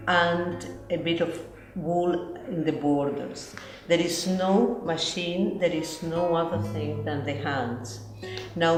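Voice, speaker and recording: A woman's voice: sits low, echoey room. A woman from Chile with a Chilean Spanish accent, carefully explaining textile pieces in an interview. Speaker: textile curator.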